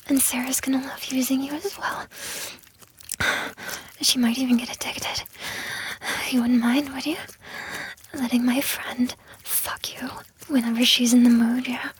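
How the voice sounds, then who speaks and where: A woman performing a whispered intimate roleplay, breathy and sighing, coaxing the listener by turns with commands and soothing reassurance. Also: sits high, rough recording. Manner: breathy whispering with frequent sighs.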